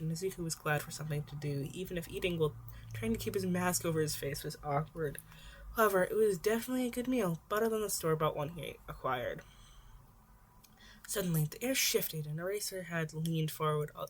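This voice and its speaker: A woman reading a story aloud as narrator, in scripted audiobook style. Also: sits low. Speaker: podfic narrator.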